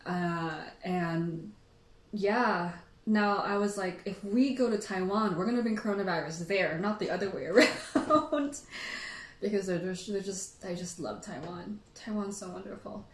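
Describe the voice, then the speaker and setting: A woman host telling stories casually to livestream viewers, animated and rambling, peppering her sentences with "like". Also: medium pitch, a little slow. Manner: animated, rambling, giggly, frequent "like". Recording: echoey room.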